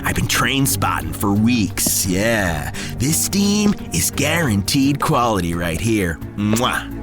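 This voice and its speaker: A man's voice: fairly low pitch, very animated, slow, music in the background. A man playing a cocky, reckless small-time criminal with a swaggering, slangy way of talking in an audio drama. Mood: excited, boastful.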